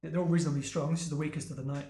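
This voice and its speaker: A man's voice: on the high side, flat delivery, racing, mellow. A man hosting a casual beer podcast, chatty and jokey, reading out facts between tastings. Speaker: podcast host. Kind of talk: casual chat. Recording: echoey room.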